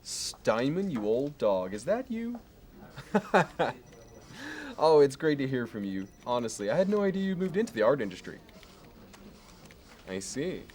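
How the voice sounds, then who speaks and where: A man playing a smug, fastidious investment banker in an ASMR roleplay, with smooth, measured, fussy sales talk. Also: medium pitch, very animated, darkish tone. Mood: chummy. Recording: clear background noise.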